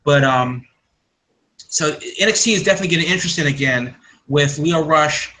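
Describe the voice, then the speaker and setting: Man, podcast host, bright forceful voice, slightly high, rambling with fillers, faint background hiss. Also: moderate pace, low-quality recording. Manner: rambling, many fillers and restarts. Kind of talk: casual wrestling news chat.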